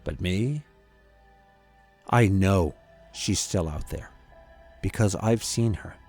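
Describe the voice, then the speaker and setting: A low-pitched man acting as a haunted grandson tells a first-person horror story. He speaks in a measured, dramatic way, leaving deliberate pauses. Music plays behind the narration. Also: darkish tone, slightly rough, exaggerated voice. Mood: regretful, haunted. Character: haunted grown grandson recounting a frightening visit.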